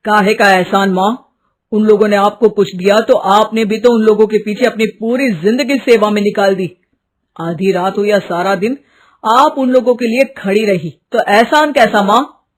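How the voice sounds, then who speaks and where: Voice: medium pitch, normal speed, lightly acted. A performer playing a resentful, vengeful young man, a housemaid's son, speaking emphatically in a dramatized story. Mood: indignant, vengeful. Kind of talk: dramatized Hindi moral story.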